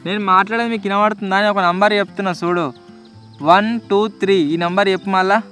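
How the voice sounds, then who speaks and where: A man's voice: sits high, little pitch movement, fast, over music. A young man with a Telangana accent presenting a casual vlog in an informal, slangy way. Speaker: video host.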